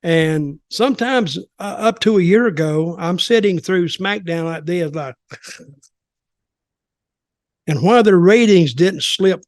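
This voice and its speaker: A man's voice: high voice, slow, long pauses, no room sound, studio quality. An older man in casual, rambling, opinionated talk about wrestling as a podcast commentator.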